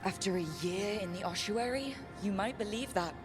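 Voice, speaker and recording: A woman's voice: medium pitch, moderate pace, music in the background, lightly acted. A woman playing a steadfast, earnest, determined heroine in dramatic video game dialogue. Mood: earnest, encouraging.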